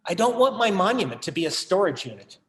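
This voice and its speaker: Guy playing disillusioned collector in a play reading, kinda high voice, wry earnest monologue style. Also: big pitch swings, quick pace, a bit bright. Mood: wistful. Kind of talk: theatrical monologue in a staged reading.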